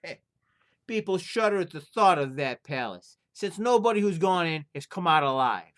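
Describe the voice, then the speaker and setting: A man's voice: on the high side, lively intonation, normal speed, voice pushed up, close to an everyday voice. A storybook innkeeper, voiced by a man storyteller, speaking up in a raised voice. He is emphatic and foreboding, warning travellers with relish for every dreadful detail. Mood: ominous warning. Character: innkeeper giving an ominous warning.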